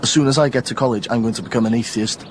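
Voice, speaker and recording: A man's voice: mid-range voice, steady tone, very fast, lightly acted. An actor playing an awkward, smitten Welsh teenage village boy in a naturalistic radio drama. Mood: sheepish, bantering. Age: young adult. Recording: noisy outdoor ambience.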